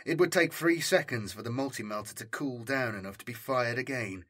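A man's voice: mid-range voice, brisk, fairly bright tone, slightly rough. A man reading military science fiction as an audiobook narrator, in a scripted, dramatic storytelling style.

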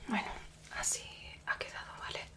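A young woman from Spain with a Spanish accent, voicing a gentle, protective werewolf woman in an ASMR roleplay, whispering softly and coaxingly into a close mic. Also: natural voice. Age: young adult. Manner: soft reassuring whisper, slow and coaxing. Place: small indoor room dressed as a cabin.

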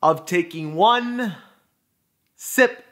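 A man performing a dramatic poetic monologue, phrasing deliberately and emphatically with pauses for effect. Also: high-pitched, a bit bright. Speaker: spoken word performer.

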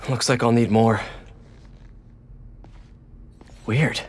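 An actor playing a young wisecracking superhero in a video game, earnest and curious, with a quippy, conversational delivery. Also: male voice, mid-range voice, fairly even intonation, moderate pace, husky, natural voice. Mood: musing. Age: young adult. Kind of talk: naturalistic video game acting.

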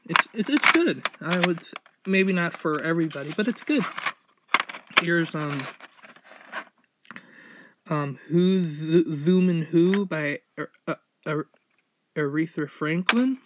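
A young man with a slightly higher-pitched voice talks off the cuff, showing things to the camera. His delivery is halting and rambling, full of hesitations. The handheld home recording has clear background noise. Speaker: vlogger showing a collection. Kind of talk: casual, unscripted show-and-tell. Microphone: handheld phone or camera mic. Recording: noisy, fuzzy handheld amateur recording.

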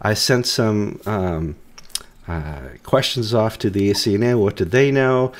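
A middle-aged man hosting a casual news chat over a video call, joking and quick with asides, his voice deep from a cold he is getting over. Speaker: host. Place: home room, video call.

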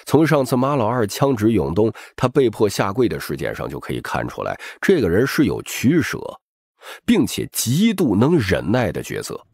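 A man's voice: lowish voice, wildly swooping pitch, moderate pace, a little mellow, dry recording, studio quality. A man reading scripted, dramatic narration as an audiobook narrator.

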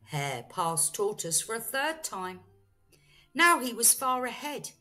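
Woman reading a children's story, unhurried. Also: fairly high pitch. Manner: expressive, deliberate storytelling. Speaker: storyteller, teacher. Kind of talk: children's bedtime story read-aloud. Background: faint music.

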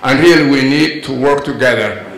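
A man's voice: mid-range voice, moderate pace, gravelly, fairly bright tone. Earnest middle-aged man in an echoey hall. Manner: switches between Somali and English, earnest and urgent. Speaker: Somali community leader. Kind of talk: public address at a community forum. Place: large indoor event hall. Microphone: handheld mic.

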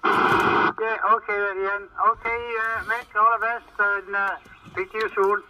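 A man's voice: sits high, level tone, unhurried. A man chatting casually as a radio operator, heard over a CB radio. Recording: dull, very noisy distant CB radio transmission. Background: loud radio static.

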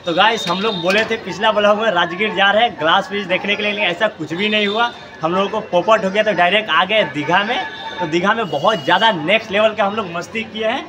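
Hoarse young male vlogger, high-pitched, hyped and chatty to camera, outdoors. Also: quick pace, noisy background. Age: young adult. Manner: chatty and hyped, addresses viewers as guys. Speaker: travel vlogger host. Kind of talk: casual excited vlog talk to camera.

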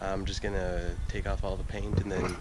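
Low-voiced young man, noisy outdoor recording. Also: flat delivery, normal speed. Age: young adult. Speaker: DIY motorcycle vlogger. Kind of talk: casual how-to vlog chat. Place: outdoors on a driveway by a garage. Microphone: handheld action camera. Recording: noisy outdoor action camera audio.

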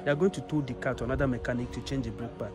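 A man's voice: mid-range voice, fairly even intonation, very fast, lightly acted. A man with a Nigerian accent playing a generous, wronged customer, speaking emphatically and repeating himself in a skit. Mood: hurt, reproachful. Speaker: actor in an online skit. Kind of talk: improvised moral skit acting. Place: outdoors in an open car yard. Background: music, vehicles.

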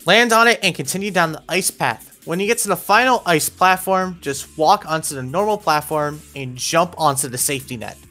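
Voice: mid-range voice, moderate pace, hardly any pauses, bright, forceful tone. A narrator giving scripted, step-by-step instructional commentary for a video game walkthrough. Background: background music.

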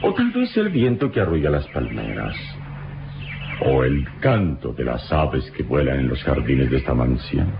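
An actor playing a noble, worldly adventurer in an old radio serial, measured, suave and grandiloquent. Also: male voice, rumbling low, huge pitch swings, creaky, noisy background, full character voice. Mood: playful, charming. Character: noble, worldly male adventurer hero, calm and gallant. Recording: muffled old radio recording with music.

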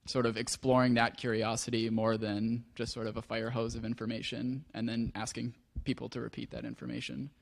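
Flat-voiced man answering on a panel. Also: medium pitch, fairly quick, vocal fry, few pauses. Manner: hesitant, many restarts. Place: lecture hall.

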